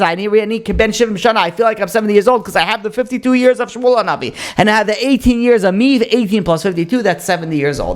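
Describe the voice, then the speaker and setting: A man, a rabbi, giving a casual Torah lesson, explaining with rhetorical questions and frequent restarts. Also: high-pitched. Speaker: rabbi teaching a Torah class.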